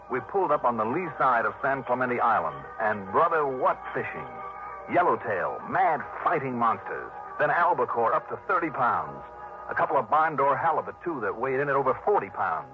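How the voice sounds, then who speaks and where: An actor playing a wry, breezy private investigator who narrates in slangy hard-boiled style in an old radio drama. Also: male voice, mid-range voice, close to an everyday voice. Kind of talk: 1950s-60s radio detective drama acting. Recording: muffled, noisy old radio recording.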